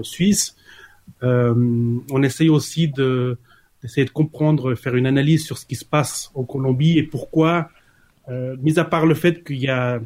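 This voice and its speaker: A man's voice: medium pitch, darkish tone. A man answering as an interview guest, hesitant and often restarting his sentences. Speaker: guest, collective member.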